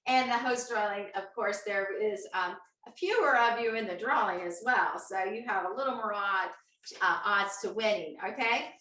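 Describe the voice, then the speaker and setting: Woman host, bright and forceful, chatty audience quizzer, set back from the mic in an echoey room. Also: mid-range voice, normal speed, recorded from a distance. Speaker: host of an online cooking show. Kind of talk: upbeat live-stream hosting.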